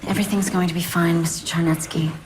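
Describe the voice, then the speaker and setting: A woman's voice: lowish voice, steady tone, quick pace, noisy background, close to an everyday voice. An actress playing a principled female surgeon who speaks earnestly and firmly. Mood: reassuring. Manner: earnest, firm. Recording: TV drama with music.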